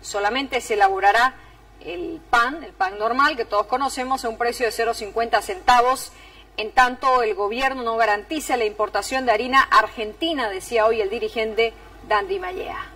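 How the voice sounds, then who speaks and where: A woman's voice: on the high side, moderate pace, bright, forceful tone, distant mic, rough recording. A middle-aged woman with a Bolivian Spanish accent presenting scripted news as an anchor in a TV studio.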